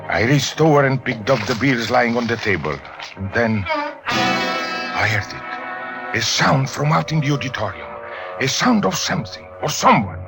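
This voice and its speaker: A menacing opera singer, swooping low voice. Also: male voice. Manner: dramatic, deliberate, formal phrasing. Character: desperate, menacing male opera singer turned murderer. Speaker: actor and first-person narrator. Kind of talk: vintage radio thriller acting. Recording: vintage radio recording with music.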